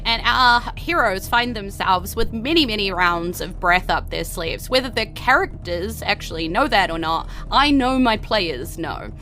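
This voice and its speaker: A woman's voice: medium pitch, pushed and bright. A woman with a New Zealand accent running a tabletop fantasy game, narrating informally and playfully with vivid storytelling and casual banter.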